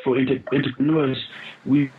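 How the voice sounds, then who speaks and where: A man from Uganda, a guest in a podcast interview, telling his story earnestly with pauses and restarts over a call line. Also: mid-range voice, level tone, a little hiss or hum. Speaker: guest, founder of a charity. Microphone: phone or call line. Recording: muffled lo-fi call audio.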